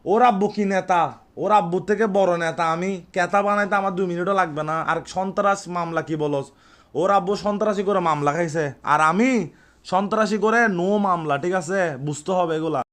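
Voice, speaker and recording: A man's voice: high-pitched, normal speed, fairly bright tone. A young Bangladeshi man with a Chittagong accent talking informally on a prank phone call, repeating himself and boasting. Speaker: prank caller playing an older brother.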